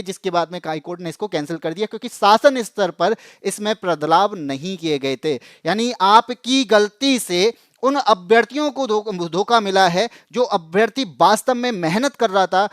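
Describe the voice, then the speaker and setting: A man's voice: sits high, a bit bright, no echo, clean studio sound. A man presenting an explanatory news update to students, emphatic and repeating phrases for stress. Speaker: exam coaching channel presenter.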